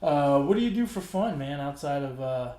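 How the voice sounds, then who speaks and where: A man's voice: on the high side, expressive, normal speed, mellow. A man hosting a podcast, easygoing and wisecracking as he asks his guest questions. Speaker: podcast host. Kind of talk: casual podcast chat. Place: podcast studio. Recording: roomy studio echo.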